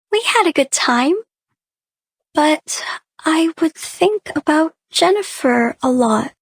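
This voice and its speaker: Casting: actress for wistful female woodland spirit in a drama, gentle storyteller, high-pitched and very unhurried, over faint music. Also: dry recording, clean studio sound. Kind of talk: scripted dramatic narration.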